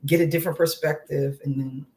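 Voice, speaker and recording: A woman guest in an interview who speaks calmly and softly, often filling her speech with "you know". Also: low voice, a little fast. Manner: soft, calm, leans on "you know" fillers. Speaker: guest, wellness coach. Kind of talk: casual interview conversation.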